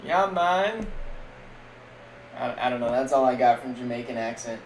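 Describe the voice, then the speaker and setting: A young man streaming casual, jokey play-by-play of a simulated football league, getting loud on big plays. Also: medium pitch, lively intonation, normal speed. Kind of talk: live-stream game commentary. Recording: echoey room with faint background noise.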